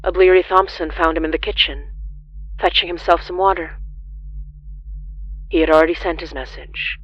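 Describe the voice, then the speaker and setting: A narrator reading a scripted horror story in a grave, measured way, with deliberate dramatic pauses. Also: medium pitch, fairly even intonation, husky, lo-fi sound.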